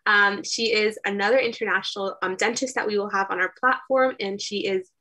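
Young woman host, breathy, kinda hesitant intro with ums, video call. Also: mid-range voice, steady tone, a little fast, sharp tone, low-quality recording. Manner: hesitant, with fillers.

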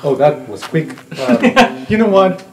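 A woman's voice: medium pitch, sing-song, a little slow. Female roleplay gamer, mid-range voice, casual improvised chat, light music underneath. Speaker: player in a tabletop roleplay game.

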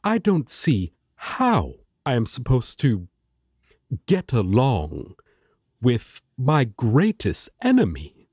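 A man's voice: mid-range voice, wildly swooping pitch, takes it slowly, darkish tone, slightly rough, no echo, clean studio sound, full character voice. A man playing a haughty, protective angel in an audio drama, speaking in a formal, wary, disdainful manner. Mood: indignant, haughty. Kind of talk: roleplay audio drama acting.

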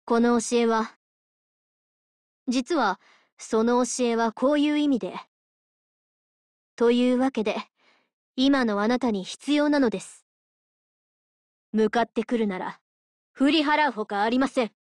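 A stern yet caring lady judge of the afterlife , played by a woman in a game. Her voice is fairly high and she speaks slowly and formally, like someone delivering a lecture. The recording is close and dry. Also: darkish tone, no room sound, natural voice. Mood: solemn. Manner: formal, polite, measured, preachy. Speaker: voice actress. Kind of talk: Japanese mobile game character voice lines.

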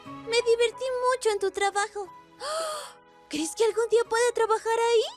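A woman voicing a sweet, mischievous little demon girl in a cartoon dub, squeaky and childlike. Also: moderate pace. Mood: pleased, innocent. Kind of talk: cartoon fan dub acting. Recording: music behind the voice.

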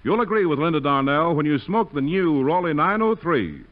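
Animated 1940s radio announcer man. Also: fairly high pitch, moderate pace. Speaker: commercial announcer. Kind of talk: 1940s radio ad read, emphatic. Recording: muffled 1940s radio recording.

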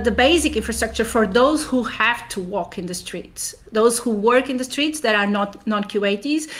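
A woman researcher giving explanatory answers in an interview. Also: a little high. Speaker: academic researcher being interviewed.

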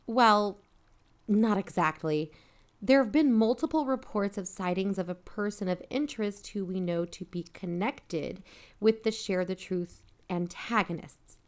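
A woman's voice: medium pitch, unhurried, close to an everyday voice. A woman playing an inquisitive, matter-of-fact podcast investigator in a mock-documentary audio drama.

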